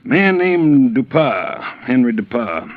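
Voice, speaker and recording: A man's voice: medium pitch, sing-song, slow pace. An actor playing a chatty, folksy male insurance agent, in a 1950s radio drama. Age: 50s. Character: folksy stocky red-faced male insurance agent, late fifties. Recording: dull lo-fi old radio broadcast.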